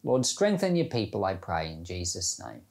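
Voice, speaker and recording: A man's voice: low voice, very animated, moderate pace, vocal fry, heavy background noise. A man speaking as a pastor, giving an informal, reflective devotional talk in plain, conversational language. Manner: informal, reflective, plain-spoken, explaining with everyday examples. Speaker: pastor giving Bible podcast commentary and prayer.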